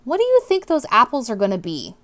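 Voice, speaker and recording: Casting: female teacher for children's read-aloud, lively pitch movement, dramatic emphasis, speaks directly to young listeners. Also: fast. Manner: animated, dramatic emphasis on key words.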